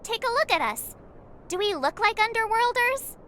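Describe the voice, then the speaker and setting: A woman's voice: extremely high pitch, big pitch swings, moderate pace, pushed and bright, heavily acted. A woman voicing a perky, outgoing teenage girl adventurer in a video game, chatty and emphatic. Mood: eager, persuasive.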